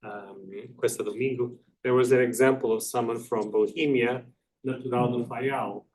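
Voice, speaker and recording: A man with a medium-pitched voice chairs a conference session. He speaks off the cuff and politely, feeling his way through the sentences. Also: steady tone, normal speed, a little mellow, slight background noise, rough recording. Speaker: session moderator. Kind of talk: informal spoken moderating.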